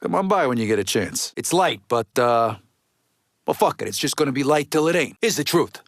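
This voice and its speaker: An actor playing a gruff, impatient middle-aged American mob underboss in a video game, with blunt, profane, streetwise talk. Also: male voice, mid-range voice, lively intonation, a little fast, dry recording, clean studio sound, close to an everyday voice. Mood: dry, weary. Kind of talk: video game dialogue acting.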